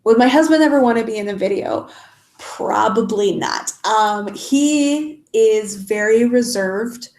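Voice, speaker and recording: A woman's voice: on the high side, a little slow, darkish tone. A young adult woman, stuffed up and sniffly, chatting casually on a live stream and answering questions with many ums and restarts. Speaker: YouTuber answering live chat. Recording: echoey room.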